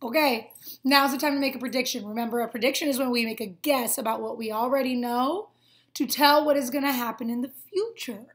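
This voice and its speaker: High-voiced young woman teaching little children. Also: a little fast, a bit bright. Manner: explains and prompts with simple questions. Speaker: teacher reading aloud to children. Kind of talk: children's read-aloud with questions to pupils. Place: small room at home, indoors.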